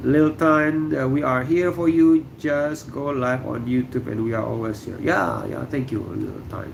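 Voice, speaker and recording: A middle-aged man from Indonesia hosting a relaxed livestream, answering viewer comments with lots of fillers and pauses. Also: mid-range voice, creaky, a little hiss or hum. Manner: relaxed, halting, many fillers. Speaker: livestream host. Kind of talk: casual livestream chat reading viewer comments. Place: room indoors.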